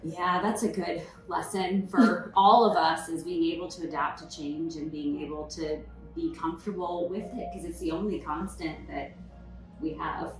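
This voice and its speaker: A woman hosting a podcast interview, encouraging her guest with quick affirmations and curious questions. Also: on the low side, steady tone, very echoey, far from the mic, faint music behind, poor audio. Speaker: podcast host. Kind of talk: casual interview conversation.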